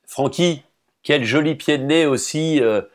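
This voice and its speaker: A man's voice: on the high side, a little slow. A man interviewing a guest, putting questions in a conversational way.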